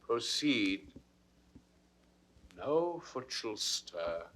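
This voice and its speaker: A man's voice: on the low side, sing-song, fairly bright tone, exaggerated voice. A British man acting a grieving, awestruck king in classical Shakespearean audio drama, declamatory and fervent. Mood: hushed awe. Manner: declamatory, fervent, drawn-out delivery.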